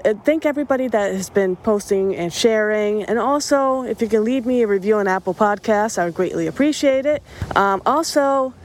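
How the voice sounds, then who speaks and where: A woman's voice: mid-range voice, a little hiss or hum. A woman hosting a music podcast in casual, enthusiastic chat, speaking English as a second language, with fillers and restarts. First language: not English. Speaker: podcast host.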